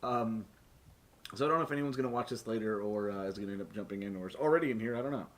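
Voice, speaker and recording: A man's voice: fairly low pitch, rapid-fire. A man hosting a tabletop game stream as game master, talking casually and jokily with plenty of swearing. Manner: profane, joking, laughs often.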